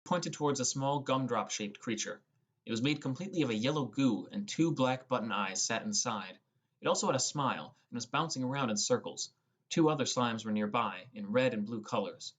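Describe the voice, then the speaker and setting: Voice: mid-range voice, animated, a little fast, slightly rough. An audiobook narrator reading a scripted first-person fantasy story.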